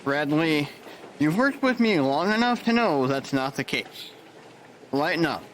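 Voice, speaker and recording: A man's voice: fairly high pitch, very animated, measured pace, fairly bright tone, slight background noise, low-quality recording. An actor playing an easygoing, wry married male nurse in an audio drama. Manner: laid-back, wry.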